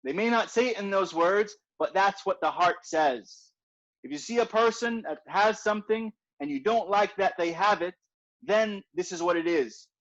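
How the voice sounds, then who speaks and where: A man's voice: high voice, normal speed, a bit bright. A man teaching an informal religious class in a conversational way, repeating his points and often asking "right?". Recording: dull lo-fi recording.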